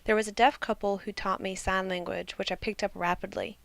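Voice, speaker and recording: A woman student interpreter voicing a signer's story in calm, measured first-person narration. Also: mid-range voice, creaky, no echo.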